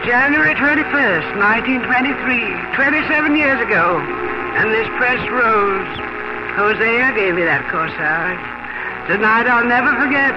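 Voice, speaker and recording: An actress playing a wistful, sentimental middle-aged housewife in a 1950 radio drama. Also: female voice, on the high side, close to an everyday voice. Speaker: lead actress. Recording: dull vintage radio broadcast with music.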